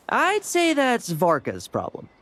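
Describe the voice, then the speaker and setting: A nonchalant young fighter in an anime game, teasing and wry. The delivery is slow and drawling, with a very animated pitch. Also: female voice, slight background noise, exaggerated voice. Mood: wry, curious. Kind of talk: anime video game voice acting.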